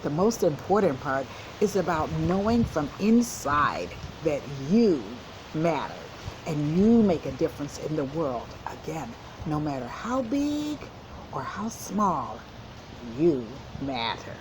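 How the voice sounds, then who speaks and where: A woman's voice: deep voice, very animated, slow, noisy background. A woman school counselor reading a storybook to children and explaining it in an instructive way. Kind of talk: children's storybook read-aloud with commentary.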